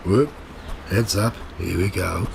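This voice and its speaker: A man's voice: deep voice, slow, creaky, noisy background, full character voice. A man acting a cynical, smug tabloid reporter in a radio play, with a sardonic, goading manner. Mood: mocking. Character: cynical, smug male tabloid reporter narrating his own investigation. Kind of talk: radio play acting with first-person narration.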